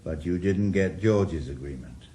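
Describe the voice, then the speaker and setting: A bitter retired detective. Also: male voice, sits low, expressive, measured pace, dark tone, a little hiss or hum. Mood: wary. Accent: Welsh. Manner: sardonic, blunt, gruff. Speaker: lead actor. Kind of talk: radio drama acting.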